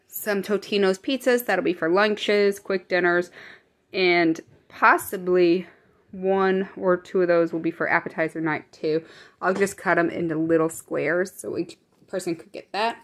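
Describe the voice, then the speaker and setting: A woman's voice: fairly low pitch, fairly even intonation, unhurried. An American woman from the Midwest talking casually as a vlogger narrating a grocery haul, chatty and matter-of-fact. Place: indoors at home, dining room.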